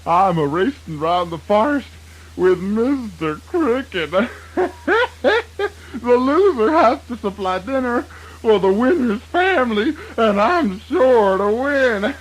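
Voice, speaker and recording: A husky, high-pitched boastful fox. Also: male voice, lively intonation, exaggerated voice, rough recording. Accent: Southern US. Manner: folksy, chuckling, drawn-out delivery. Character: boastful, gullible male fox, easily flustered. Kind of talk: children's radio theatre folk tale acting.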